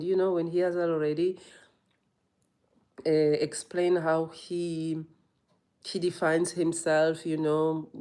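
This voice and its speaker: A woman's voice: deep voice, a little mellow. A woman yoga teacher in a reflective personal monologue, earnest and rambling, often saying "you know".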